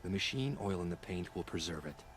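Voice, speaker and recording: Deep, solemn tribesman. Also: lively intonation, fairly quick, slight background noise. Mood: solemn, grateful. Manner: grave, formal. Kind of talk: video game voice acting.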